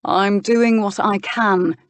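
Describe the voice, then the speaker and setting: Woman as a measured, exasperated gentleman, dry-humoured. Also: medium pitch, fairly even intonation, no echo, voice pushed up. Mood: exasperated, patient. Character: patient, exasperated gentleman, brother of a duchess. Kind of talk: historical romance audiobook character.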